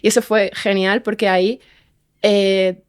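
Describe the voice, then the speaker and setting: A woman's voice: medium pitch, little pitch movement, measured pace, clear, resonant tone. A woman talking casually as an interview guest, informal and often seeking agreement with little tag questions. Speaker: guest, fiction podcast writer.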